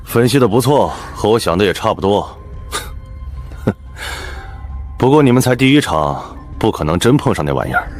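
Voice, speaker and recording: A man playing a seasoned death-game veteran in animated drama, knowing and condescending. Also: fairly low pitch, normal speed, pauses often, soft background music. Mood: smug.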